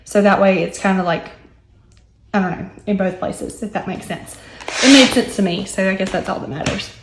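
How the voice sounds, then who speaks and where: A young woman with a hoarse, recovering voice, chatting casually with many ums and asides as she works through her budget. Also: medium pitch, moderate pace, echoey room, heavy background noise. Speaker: budgeting vlogger. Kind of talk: casual chat while working on paper.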